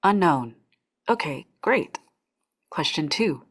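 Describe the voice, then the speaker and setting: A woman playing a stoic, politely chipper corporate orientation facilitator in a script table read, brisk, procedural and artificially courteous. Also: sits low, lively intonation, fairly bright tone, slightly rough, exaggerated voice. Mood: brisk, officious.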